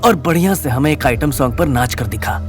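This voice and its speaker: A man's voice: mid-range voice, animated, brisk, soft background music, lightly acted. A man voicing a cruel, crude young gang-leader bully in an audio story, threatening and taunting. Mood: menacing. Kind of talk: Hindi audio story character acting.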